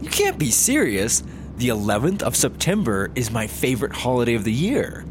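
A man playing an excitable eager traveler. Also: normal speed, noisy background, close to an everyday voice. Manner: excitable, pitch jumps high when excited. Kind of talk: comedy audio drama acting.

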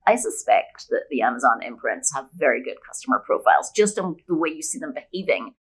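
Low-pitched woman, opinionated podcast guest. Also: sing-song, fairly quick, husky, rough recording. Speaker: podcast guest, women's fiction author. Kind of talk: conversational interview, explaining opinions.

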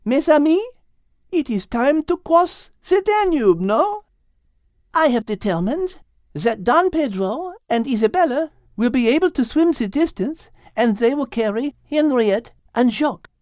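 Man doing a high, unhurried, gracious French lady cat. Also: very animated, darkish tone, dry recording, clean studio sound, exaggerated voice. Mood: brisk, commanding.

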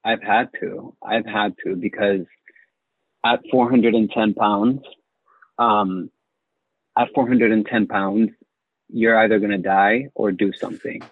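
A man telling his own story as an interview guest, measured and repeating phrases for emphasis. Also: fairly low pitch, fairly even intonation, slightly rough, no room sound. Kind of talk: reflective personal storytelling. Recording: muffled phone-like line, very dry.

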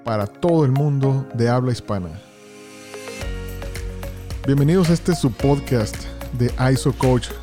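A man hosting a podcast interview, greeting listeners with energy and often repeating words as he talks. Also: medium pitch. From Houston, Texas, United States. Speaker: podcast host.

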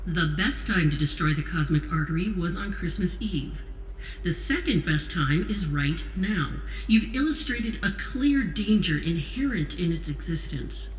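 A woman playing a stern, commanding president in an audio drama, speaking in a measured, authoritative way. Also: on the low side, lively intonation. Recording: roomy echo, faint noise.

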